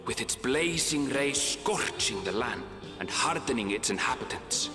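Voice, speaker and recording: A man voicing a reflective travelling companion in a video game, with measured, lyrical delivery. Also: medium pitch, lively intonation, lightly acted. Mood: reflective, solemn. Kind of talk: scripted game narration and dialogue. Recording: long reverb, music behind.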